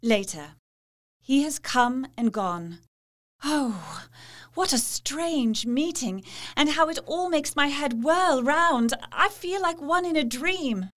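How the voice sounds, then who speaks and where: An actress playing an earnest, anxious young Victorian wife, confiding in a proper manner as in a dramatised diary. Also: female voice, high voice, animated, slow pace, fairly bright tone, exaggerated voice. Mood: dazed wonder.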